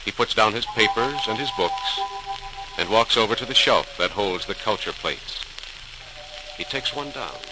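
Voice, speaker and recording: A man's voice: fairly low pitch, animated, unhurried, over music. A man narrating a 1940s radio drama as a solemn, suspenseful storyteller, theatrical and emphatic, on a crackling old recording. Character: solemn, suspenseful male storyteller of scientific discovery.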